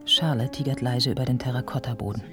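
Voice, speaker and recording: A woman acting as the sober, observant narrator of a literary radio play, measured and descriptive. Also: extremely low pitch. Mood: sombre. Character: sober, observant female narrator of a writer's life. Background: prominent instrumental music.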